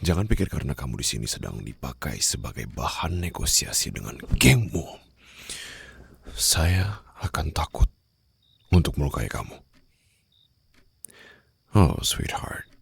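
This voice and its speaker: A man acts out a commanding mafia boss with an extremely deep voice, breathy and rough at the edges. He speaks slowly and condescendingly, in the controlled, close style of an ASMR roleplay drama, sometimes sinking toward a whisper. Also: very animated, no room sound, heavily acted. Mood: menacing, low. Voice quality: gravelly, breathy, often dropping to a whisper. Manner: slow, taunting, controlled threats. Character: menacing, condescending young male mafia boss holding a captive.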